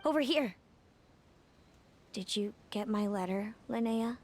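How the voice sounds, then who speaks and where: A woman voicing a gentle older sister in a video game, speaking softly and consolingly. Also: a little high, takes it slowly, a little hiss or hum. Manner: soft, consoling. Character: gentle older sister bringing sad news. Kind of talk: game voice acting. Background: game music.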